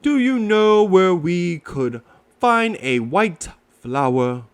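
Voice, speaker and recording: A man's voice: sits high, expressive, slow pace, clear, resonant tone. A man voicing a mild, simple, earnest toy bear in a children's storybook. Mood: mild, puzzled. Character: gentle, slow-witted, earnest toy bear.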